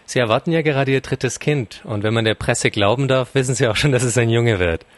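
A man conducting an interview and reading formal, prepared questions in a polite, measured way. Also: medium pitch.

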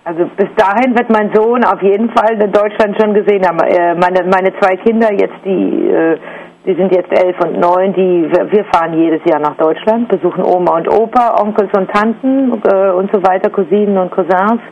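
Gravelly woman on a muffled line. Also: mid-range voice, steady tone, normal speed, slight background noise. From Germany. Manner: hesitant, many fillers and restarts. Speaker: interview guest, artist. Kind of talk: reflective interview answers.

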